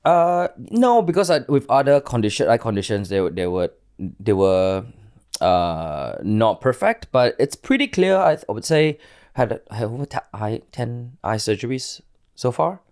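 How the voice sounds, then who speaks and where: A man's voice: mid-range voice, sing-song, measured pace, darkish tone, smooth. A man in his late thirties with a Singaporean accent, speaking candidly as an interview guest and often searching for his words.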